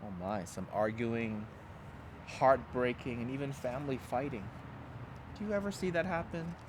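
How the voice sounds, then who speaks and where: A man's voice: medium pitch, normal speed, background noise. A man reading a children's storybook aloud as a pastor, expressively and dramatically, with simple emphatic phrasing and asides to young listeners.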